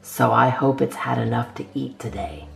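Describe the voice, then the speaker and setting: A woman's voice: extremely low pitch, moderate pace, vocal fry, rough recording. A woman hosting a storytime, reading children's poems aloud expressively with theatrical emphasis. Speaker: storytime host reading a picture book.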